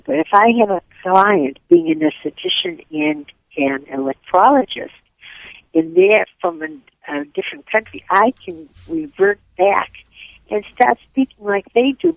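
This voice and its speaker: A woman's voice: medium pitch, vocal fry, no room sound. An older woman, a Yiddish-speaking author, chatting upbeat and rambling as an interview guest. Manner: upbeat, rambling, quick tangents. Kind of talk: casual interview chat. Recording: tinny phone line.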